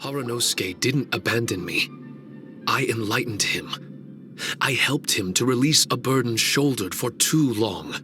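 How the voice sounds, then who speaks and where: An actor playing a solemn, wistful male shikigami servant in a game cutscene, speaking in a slow, measured, reflective way. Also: medium pitch, pushed and bright, soft background music.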